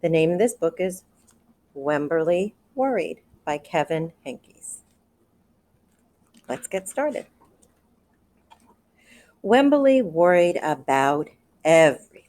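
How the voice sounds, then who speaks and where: Low-voiced woman reading aloud to children. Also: slow pace, long pauses. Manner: expressive, asks listeners questions. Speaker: educator reading a picture book aloud. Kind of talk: read-aloud storytelling with commentary for children.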